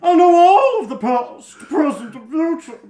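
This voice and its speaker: A woman voicing a grand, flighty, ageless sorceress teacher with a dramatic, drawn-out delivery. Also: sits high, sing-song, warm tone, voice pushed up. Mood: grand, theatrical. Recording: roomy, echoey home recording.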